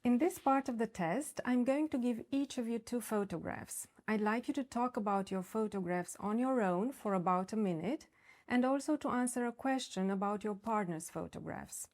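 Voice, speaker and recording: A woman's voice: on the high side, darkish tone. Formal woman examiner. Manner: measured, formal prompting. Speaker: speaking exam examiner. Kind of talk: scripted exam questions.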